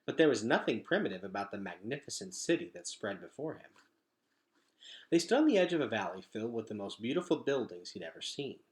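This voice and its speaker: A man reading a children's novel aloud as a storyteller, with expressive, emphatic phrasing. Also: mid-range voice, a little fast. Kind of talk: animated children's book reading.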